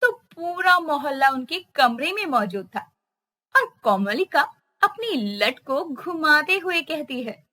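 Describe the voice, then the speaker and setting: A woman's voice: sits high, sing-song, takes it slowly, bright, forceful tone. A woman narrating a story expressively.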